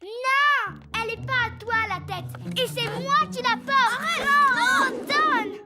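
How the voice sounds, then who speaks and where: A woman's voice: very high-pitched, measured pace, heavy background noise. A woman voicing a mischievous, bossy, whiny little schoolgirl in a children's cartoon. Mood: protesting, pleading.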